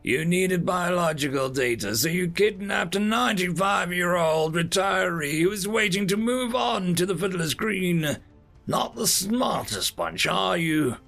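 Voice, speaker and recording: Feisty, folksy veteran in his nineties, played by a male narrator; raised pitch, gravelly creak, taunting storybook delivery. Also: very animated, slow pace, bright, forceful tone, faint music behind, heavily acted. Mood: scornful. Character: defiant, folksy 95-year-old male war veteran.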